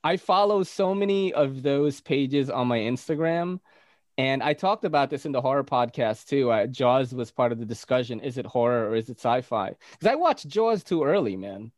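A man's voice: medium pitch, expressive, moderate pace, no room sound, clean studio sound. A man with a Filipino accent hosting a podcast in casual, slangy chat, laughing often. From Philippines. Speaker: podcast host.

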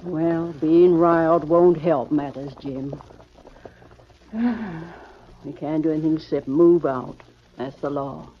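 Steady old rancher's wife, muffled sound. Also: female voice, low-pitched, slow, slight background noise. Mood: soothing. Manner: folksy, gently chiding. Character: aging rancher's wife, steady, plucky, soothing. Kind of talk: 1940s radio acting. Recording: muffled old radio recording.

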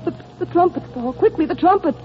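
A woman's voice: extremely high pitch, animated, fast talker. An actress playing a tender, anguished godmother in a 1930s radio drama, speaking urgently and repeating her words. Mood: urgent tenderness. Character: tender anguished godmother comforting a beloved child. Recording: tinny noisy old radio broadcast.

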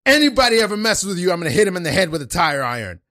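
A man playing an intense, swearing man at a support-group meeting who loudly declares his love for everyone. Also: high-pitched, sing-song, racing, no echo, studio quality, pitched above the performer's own voice, exaggerated voice. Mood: fervent. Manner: loud, swearing, overly emotional declarations of love. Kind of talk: improvised comedy scene.

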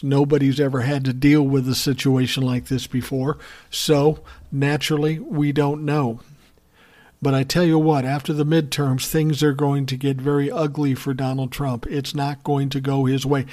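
A man's voice: medium pitch, level tone, normal speed, darkish tone. A man hosting a political podcast, giving blunt, profane opinion commentary and answering listener messages in a conversational way.

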